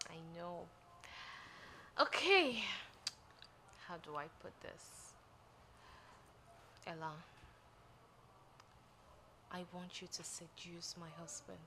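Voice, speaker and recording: A woman's voice: fairly low pitch, big pitch swings, slow pace, pauses often, background noise, full character voice. A woman with a Nigerian accent playing an earnest, scheming wife in dramatic film dialogue. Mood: hesitant, earnest.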